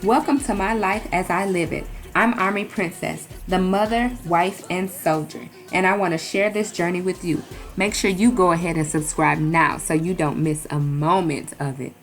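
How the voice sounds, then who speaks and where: Woman with a lowish voice, cheerful intro read over soft music. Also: fairly even intonation, normal speed. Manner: upbeat, inviting. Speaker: vlogger and soldier. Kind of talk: channel intro. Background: faint music.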